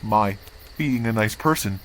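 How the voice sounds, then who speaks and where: A man's voice: fairly low pitch, measured pace, noisy background, natural voice. A man playing a cold, disdainful council envoy, speaking formally and condescendingly in a comic dub. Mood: haughty. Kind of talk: amateur comic dub acting.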